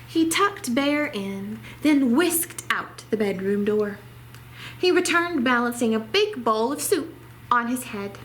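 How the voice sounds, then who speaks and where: A woman's voice: fairly high pitch, animated, slow pace, a bit bright, a little hiss or hum. A woman reading a children's story aloud expressively, as a library storytime reader.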